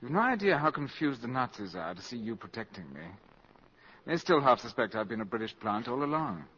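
Dull vintage radio recording, smooth-mannered male spy, mocking, animated intonation, bright tone. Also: mid-range voice, fairly quick. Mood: amused defiance. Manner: sardonic, teasing, theatrically polite. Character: suave, mocking, self-assured male valet turned spy. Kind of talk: 1950s radio acting.

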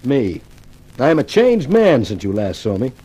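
An actor playing a wry, wisecracking newspaper columnist in a 1950s radio thriller, with a dramatic delivery. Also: male voice, medium pitch, wildly swooping pitch, normal speed, warm tone, breathy edge. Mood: cocky. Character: wisecracking newspaper columnist, timid man turned cocky.

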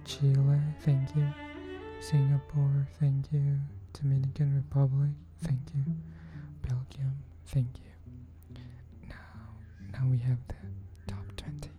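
Podcast host lady, super deep flat voice, soft near-whisper, cello music playing behind. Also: dull audio. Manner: soft, often near-whispered, slow and unhurried. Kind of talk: thank-you message to listeners.

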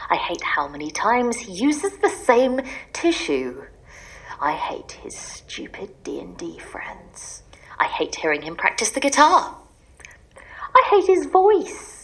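Exasperated wife in an audio drama; bitter ranting, very expressive intonation, pleading edge. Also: female voice, fairly high pitch, low-quality recording. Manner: ranting in long bitter lists, sometimes dropping to a secretive whisper. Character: exasperated, desperate wife sick of her husband, pleading to disappear.

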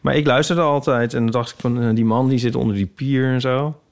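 A man's voice: mid-range voice, fairly even intonation, a little fast, creaky. Hesitant man, casual podcast chat. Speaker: podcast co-host.

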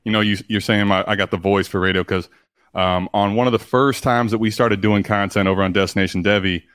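A man guesting on a podcast, with a deep radio voice and a confident way of talking. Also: fairly even intonation, brisk, a bit bright, smooth. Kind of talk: casual podcast chat.

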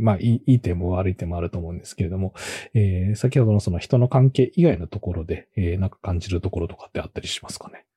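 Dark, muffled-toned man hosting a business podcast. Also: on the low side, dry recording. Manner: polite, frequent listening backchannels. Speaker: podcast host and company co-founder. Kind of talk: relaxed business interview chat.

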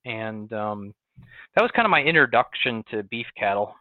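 Western New York farmer on a tinny line. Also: male voice, fairly low pitch, normal speed, no room sound. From Western New York, near Buffalo. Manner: relaxed storytelling with many "um"s and restarts. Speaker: guest, cattle farmer. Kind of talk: conversational interview. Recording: tinny phone-like line, dry.

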